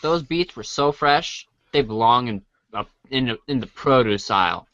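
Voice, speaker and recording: A man's voice: mid-range voice, lively intonation, a little slow, fairly bright tone, dry recording, dull audio. A man narrating a scripted read-along storybook in the second and third person.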